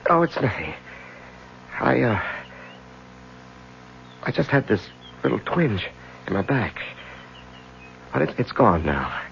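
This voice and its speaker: A man's voice: mid-range voice, very animated, slow, gravelly, pauses often, heavily acted. An actor playing an anxious, brooding middle-aged husband who tells his own story in a radio drama, with hesitant delivery. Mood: uneasy, hesitant. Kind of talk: 1970s radio drama acting, first-person narration. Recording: muffled old radio broadcast with music.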